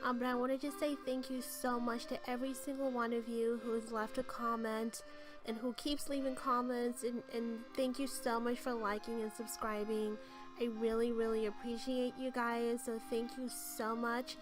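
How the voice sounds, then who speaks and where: A woman with a cold and a hoarse voice, giving a chatty, gushing voice-over tour of a game build with frequent ums. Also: fairly high pitch, flat delivery, normal speed. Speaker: game channel host. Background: light background music.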